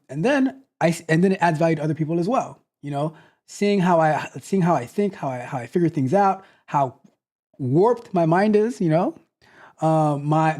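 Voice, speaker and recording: A man in his thirties hosting a casual livestream from a home studio on a close boom mic, rambling, repeating himself and joking. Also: darkish tone. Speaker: host, tech coach. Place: home studio, seated in gaming chair. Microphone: boom mic close to mouth.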